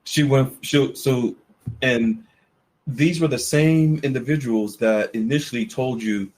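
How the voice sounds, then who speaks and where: A man hosting a conversation, deliberately repeating his phrases as he steers his guest. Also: medium pitch, a little mellow. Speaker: host moderating a guest. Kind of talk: conversational interviewing.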